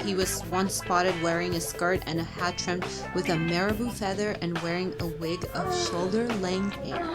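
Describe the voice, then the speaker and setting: A woman's voice: medium pitch, natural voice. A woman playing a cheeky porn star historian over music. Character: cheeky educated porn star sharing presidential fun facts. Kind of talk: scripted sketch comedy monologue. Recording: music under the voice.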